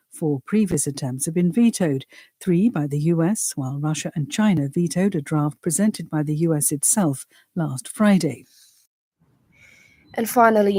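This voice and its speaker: A woman's voice: lowish voice, darkish tone, no room sound. A woman with a Zambian accent reads a scripted news bulletin on the radio from a studio. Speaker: news reader. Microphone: studio broadcast microphone.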